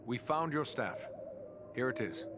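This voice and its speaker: A man's voice: mid-range voice, lively intonation, a little slow, noisy background, lightly acted. A man playing a brave, courteous ranger in a fantasy video game, speaking earnestly and formally. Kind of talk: video game cutscene acting.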